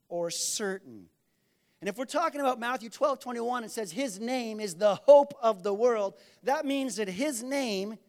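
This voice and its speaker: A man preaching a sermon, repeating key lines for emphasis and swinging between loud bursts and quiet asides. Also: high-pitched. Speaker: pastor.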